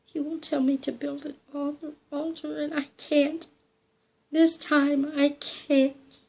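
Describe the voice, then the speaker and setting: A woman's voice: high-pitched, a little slow, darkish tone, husky, voice pushed up, full character voice, low-quality recording. A frightened young woman begs and trembles in a raised, breathless voice, now and then falling to a whisper. She sounds timid and close to tears, as a woman reading the story acts her out. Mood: desperate.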